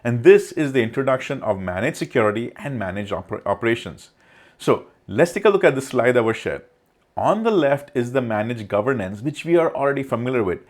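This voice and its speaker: A man's voice: mid-range voice, lively intonation, brisk. A man narrating an informal tech explainer over slides, talking directly to the viewer in a conversational way. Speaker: tech video presenter.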